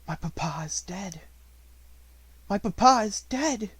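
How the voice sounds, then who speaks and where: A man's voice: slow pace, breathy edge, dry recording, heavily acted. A man voicing a grieving but proud little girl in an audiobook story, speaking with mournful steadiness. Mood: grief-stricken. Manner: mournful, steady, low-toned.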